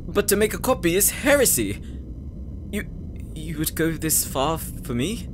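Man playing an excitable young scholar, animated pitch. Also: measured pace, noisy background. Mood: awed, sincere. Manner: eager, fussy, easily flustered. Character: excitable, nerdy young male forge master scholar. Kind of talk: anime fantasy audio roleplay acting.

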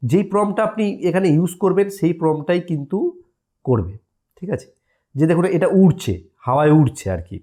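Guy w/ doing a casual how-to, mellow voice, talks quick. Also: a little high, lively intonation. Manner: conversational, explanatory, often checking the listener follows. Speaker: tutorial presenter. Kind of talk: casual step-by-step app tutorial.

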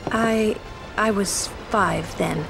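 An actress playing a stern, sardonic, protective young woman in a video game, speaking in a measured, dry, pointed way. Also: medium pitch, creaky, heavily acted. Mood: grave, measured. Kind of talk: video game cutscene acting. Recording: music behind the voice.

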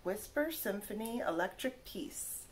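A woman's voice: mid-range voice, expressive, a little hiss or hum. A woman in her thirties reading a children's picture book aloud like a teacher, stressing words carefully one by one. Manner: careful, drawn-out word-by-word emphasis. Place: small living room, indoors.

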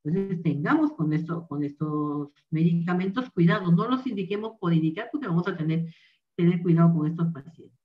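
A woman's voice: fairly low pitch, animated, fairly quick. A woman doctor giving an online medical lecture, explaining carefully and checking in with her listeners. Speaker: rheumatologist giving a talk. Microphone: video call microphone. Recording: dull distant video call audio.

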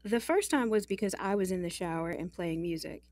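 A woman's voice: lowish voice, a little fast, a little mellow, dry recording, natural voice. An actress playing a guarded, exasperated young working woman in an audio drama, frank and wry.

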